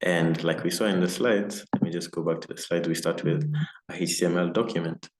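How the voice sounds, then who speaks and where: A man's voice: deep voice, fairly quick. A man teaching a casual live coding lesson on a video call, with lots of fillers. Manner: relaxed and casual, many fillers. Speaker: coding instructor. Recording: roomy echo, faint noise.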